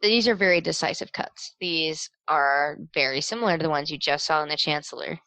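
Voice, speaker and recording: A young woman improvising tabletop game narration as game master, describing scenes in a casual, halting way. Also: deep voice, pushed and bright, no echo, low-quality recording.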